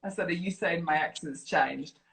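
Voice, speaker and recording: A woman with a northern English accent softened by years in New Zealand, chatting casually and teasingly on a live stream as she reads out viewer comments. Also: low-pitched, moderate pace, creaky, a little hiss or hum. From Yorkshire, northern England. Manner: playful, teasing. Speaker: fitness program director, interview guest. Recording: roomy, echoey live online video chat.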